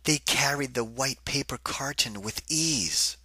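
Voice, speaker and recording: A man giving an interactive children's read-aloud in a deliberate, singsong rhythm, like a teacher with a picture book. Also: medium pitch, bright, forceful tone, breathy edge, no echo.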